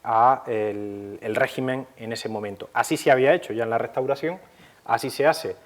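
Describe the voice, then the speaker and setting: A man from gives an unscripted historical explanation at a middling pitch. His delivery is measured and didactic. He often hesitates and repeats a word before carrying on. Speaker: history commentator.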